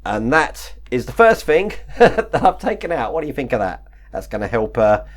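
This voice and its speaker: A man hosting his model-building channel, chatting casually through an unboxing, hoarse from a chest infection and full of fillers and little laughs. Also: medium pitch, big pitch swings, moderate pace. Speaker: model-kit channel host.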